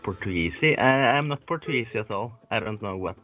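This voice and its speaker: A man's voice: fairly low pitch, lively intonation. Muffled Peruvian Spanish-accented man on a phone. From Peru. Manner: hesitant, soft-spoken, halting. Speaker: caller. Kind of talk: call-in chat.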